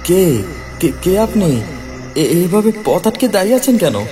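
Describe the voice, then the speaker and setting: A man's voice: high-pitched, big pitch swings, unhurried. A man voicing an anxious traveller in a horror cartoon, dramatic and full of exclaimed questions. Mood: frightened, baffled. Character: anxious male traveller lost at night in horror tale. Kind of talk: animated horror cartoon dialogue. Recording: loud music behind.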